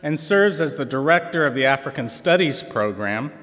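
A college president introducing award recipients at a convocation, measured and formal with a touch of humor. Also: male voice, mid-range voice, lively intonation, a bit bright. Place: large auditorium. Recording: reverberant auditorium.

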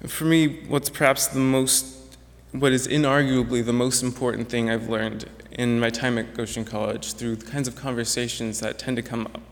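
A man's voice: medium pitch, flat delivery, moderate pace, few pauses. A young man, a graduating student, giving an earnest, reflective prepared speech to an audience. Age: young adult. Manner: earnest, reflective, with some restarts. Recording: echoey hall with long reverb.

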